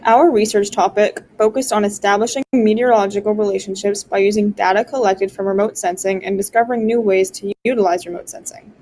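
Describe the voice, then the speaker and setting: A woman's voice: medium pitch, steady tone, brisk, hardly any pauses, darkish tone, a little hiss or hum. Teen girl opening a presentation. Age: teens. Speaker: student presenter. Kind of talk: scripted welcome.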